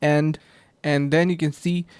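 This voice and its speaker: A man hosting a screen-recorded software tutorial. He explains the steps casually and says "uh" and "um" often. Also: a little high, flat delivery, a little slow, clear, resonant tone, no room sound. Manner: casual, step-by-step, with frequent fillers. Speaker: tutorial host.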